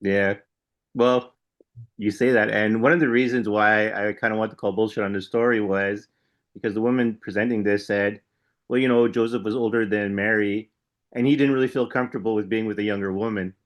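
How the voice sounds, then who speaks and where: A man's voice: fairly low pitch, steady tone, brisk. A man co-hosting a podcast in casual chat, skeptical and loose, chuckling as he rambles. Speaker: podcast co-host.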